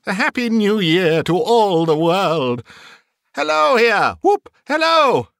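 A man's voice: a little high, animated, measured pace, a bit bright, no room sound, studio quality, voice pushed up. A man voicing a reformed old miser bursting with joy and tearful emotion, exclaiming and whooping in a dramatic storybook reading. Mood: giddy, elated. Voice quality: voice breaking with emotion.

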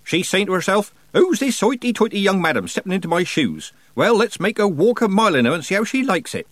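A man's voice: high-pitched, very animated, fairly quick, dry recording, pitched above the performer's own voice. A man voicing a cheeky, teasing old village witch woman in a storybook reading. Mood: teasing, encouraging.

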